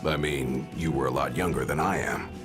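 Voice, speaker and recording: A man playing a gruff, determined young bodyguard in a video game, blunt and informal. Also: very deep voice, steady tone, normal speed. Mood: curious. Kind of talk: video game cutscene acting. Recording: music behind the voice.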